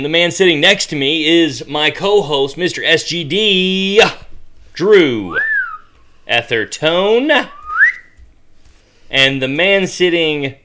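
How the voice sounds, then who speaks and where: A man's voice: a little high, bright, forceful tone, a little hiss or hum. A man hosting a casual podcast with his co-hosts, upbeat and joking, laughing often. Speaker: podcast host.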